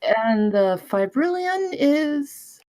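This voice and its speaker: A woman acting an awkward, anxious young woman in a film script read. Her voice is high and swings widely, and she talks slowly in hesitant, stammering bursts. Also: sing-song, a little mellow, dry recording, clean studio sound, heavily acted. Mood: hesitant, puzzled. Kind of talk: screenplay table read.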